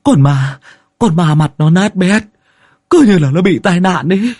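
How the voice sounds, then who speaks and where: Terrified rural husband for horror audiobook, played by a male narrator: high-pitched, whiny, stammering, pleading. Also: expressive, measured pace, darkish tone. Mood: panicked, stammering. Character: panicked, whining village husband terrified of ghosts. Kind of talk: Vietnamese comic horror audiobook acting.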